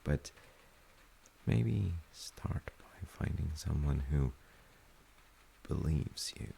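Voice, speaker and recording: A man playing a gentle, thoughtful, reassuring dad in a soft-spoken comfort roleplay, hesitant and reflective, with a husky, gravelly voice. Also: very deep voice, expressive, a little hiss or hum, exaggerated voice. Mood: gently musing. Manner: hesitant, reflective, pausing mid-sentence. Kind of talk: soft-spoken sleep-aid audio roleplay.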